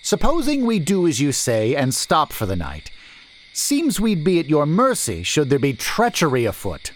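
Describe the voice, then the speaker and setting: A curt, distrustful merchant barks his questions, pitched well above a man's usual low reading voice, as a narrator acts him out. He sounds loud and pressing, with faint hiss behind him in an audiobook. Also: wildly swooping pitch, normal speed. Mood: skeptical. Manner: brusque, demanding, skeptical. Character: suspicious gruff male merchant leading a wagon.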